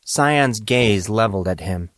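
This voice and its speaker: A man's voice: on the low side, lively intonation, a little slow, no echo. Male storybook narrator, very dry sound. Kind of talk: scripted audio drama narration.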